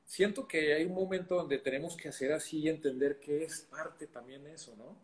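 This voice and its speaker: A man's voice: a little high, fairly even intonation, moderate pace. A man hosting an interview, asking long reflective questions. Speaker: interview host. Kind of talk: conversational interview. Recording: echoey room.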